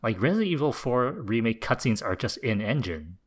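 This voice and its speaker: A man's voice: mid-range voice, very animated, a little fast, husky. A man co-hosting a podcast, reasoning through his points in casual, conversational debate. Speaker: podcast co-host. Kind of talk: casual debate about video games.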